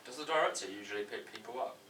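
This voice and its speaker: A man's voice: mid-range voice, racing, pushed and bright, natural voice. A man playing a naive, self-important young aspiring actor at an amateur table read, earnest and blunt. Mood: proud, defiant. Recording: distant mic with background noise.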